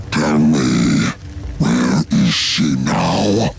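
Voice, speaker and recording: Gloating game villain, mad scientist, slow and drawn-out, gravelly, grandiose, music behind. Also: male voice, extremely low pitch, lively intonation, full character voice. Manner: grandiose, taunting monologuing with drawn-out words. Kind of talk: dramatic video game voice acting.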